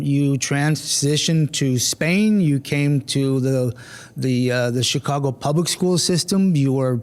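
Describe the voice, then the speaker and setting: Halting, somewhat high-voiced male interviewer. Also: a little mellow. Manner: halting, restarting questions with many "um" and "uh" fillers. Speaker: school superintendent, interviewer. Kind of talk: conversational interview hosting.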